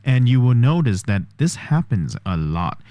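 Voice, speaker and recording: A man's voice: mid-range voice, big pitch swings, normal speed, mellow, dry recording. A man vlogging a test of an AI voice assistant, explaining step by step and thinking aloud. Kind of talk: casual tech demo commentary.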